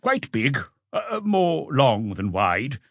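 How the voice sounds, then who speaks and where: Man voicing a precise, observant male witness, lively intonation. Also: medium pitch, slow, gravelly, no echo, studio quality, exaggerated voice. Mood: precise, assured.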